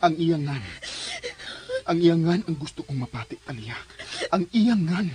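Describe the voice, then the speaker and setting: A man's voice: fairly high pitch, lively intonation, normal speed, background noise, close to an everyday voice. An actor with a Filipino accent playing an anguished, impassioned man desperate to save a woman and her baby in a radio drama. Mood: pleading, insistent. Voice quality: impassioned, emotional delivery. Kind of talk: Philippine radio drama acting. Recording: music behind the voice.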